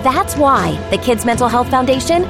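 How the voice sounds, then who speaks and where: A woman narrating a foundation ad in a lively way. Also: high voice, moderate pace, music in the background.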